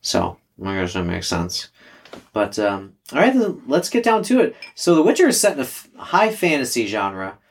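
A man in easy, joking book-club chat, leading his co-hosts through the discussion. His voice sits at a middling pitch, and he pokes fun at himself while keeping things moving. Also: wildly swooping pitch, moderate pace, creaky. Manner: self-deprecating, joking, leads the discussion. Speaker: podcast host.

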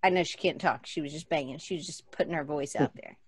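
A woman's voice: low-pitched, quick pace, husky, no echo. A woman hosting a group video call, playful and joking as she hands the turn to each guest. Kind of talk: casual chat.

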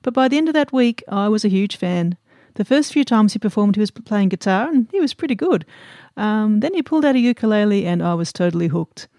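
A woman's voice: medium pitch, animated, brisk, few pauses, dark tone, no room sound, studio quality. An Australian woman in her fifties hosting a podcast, bantering and laughing often in an interview on a cruise ship. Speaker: podcast host.

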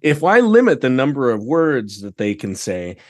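A man's voice: medium pitch, sing-song, normal speed. A man chatting casually as a podcast guest, often restarting as he searches for words. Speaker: podcast guest, theatre director. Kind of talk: casual chat.

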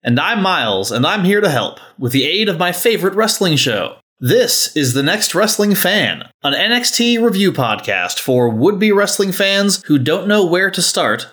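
A man's voice: high-pitched, sing-song, normal speed, few pauses, a bit bright. A man co-hosting a podcast, moving between scripted introductions and casual chat with a theatrical, announcer-like delivery. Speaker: podcast co-host.

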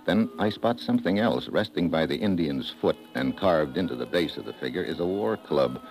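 An actor playing a tough, unflappable American secret agent in 1950s radio drama style, with clipped, dry delivery. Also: male voice, low voice, expressive, normal speed, a little mellow, soft background music. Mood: terse, narrating. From United States. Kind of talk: 1950s radio drama acting with first-person narration. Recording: noisy old 1950s radio recording.

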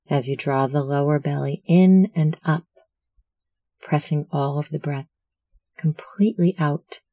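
Meditation guide, unhurried, gravelly fry, measured and soothing, close dry sound. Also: mid-range voice, warm tone, dry recording. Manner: measured, soothing instructional delivery.

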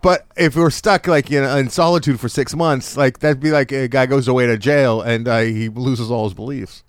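A man's voice: mid-range voice, steady tone, moderate pace, no room sound. A stuffy, sweaty-feeling man co-hosting a casual podcast, blunt and skeptical with dry asides. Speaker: podcast co-host. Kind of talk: casual chat.

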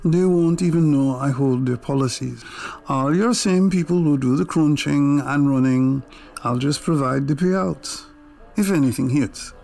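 Gravelly sly racketeer, played by a man. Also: fairly high pitch, a little slow, warm tone. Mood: coy, evasive. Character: sly 1920s Harlem numbers racketeer, haggling coolly. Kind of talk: period audio drama acting. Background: music.

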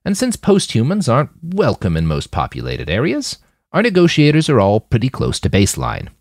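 Guy doing a old grandma leader, dry n blunt, creaky, very up and down, audiobook. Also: medium pitch, wildly swooping pitch, a little fast, darkish tone, no room sound, pitched above the performer's own voice. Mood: businesslike. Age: older. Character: eldest old woman leader, wry, blunt, matter-of-fact grandmother.

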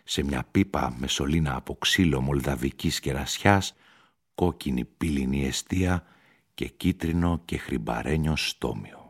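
A man narrating a literary short story for radio in a measured, deliberate manner. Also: a bass voice, husky. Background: music.

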